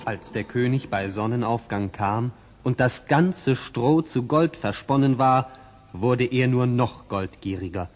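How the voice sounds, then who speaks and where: A man's voice: mid-range voice, a little slow, darkish tone, a little hiss or hum. A man narrating a fairy tale in the scripted storytelling style of a 1960s audio play. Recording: long reverb on old record.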